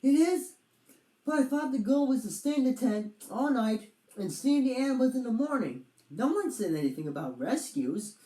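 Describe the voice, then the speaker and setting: A storybook reader voicing a timid, whiny young boy dragon with a nervous, squeaky manner. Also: very animated, moderate pace, mellow, roomy sound, poor audio. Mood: alarmed.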